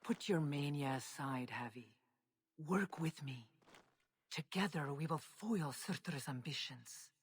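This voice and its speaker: A woman voicing a proud, shrewd frost giant leader in a dramatic video game cutscene, with a measured, formal, wry delivery. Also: sits low, expressive, husky, heavily acted. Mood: earnest.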